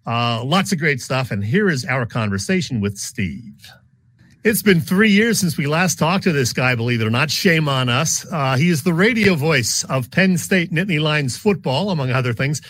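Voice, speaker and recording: A man's voice: a little high, expressive, normal speed, fairly bright tone, no echo. An American man co-hosting a sports show, talking and asking questions in a conversational style.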